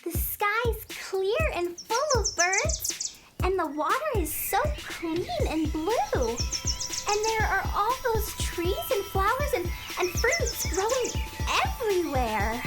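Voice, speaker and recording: A woman's voice: extremely high pitch, big pitch swings, slow, over loud music, full character voice. A woman voicing a sweet, wide-eyed, childlike space creature who stammers, in a children's audio drama. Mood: delighted. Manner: stammers on words.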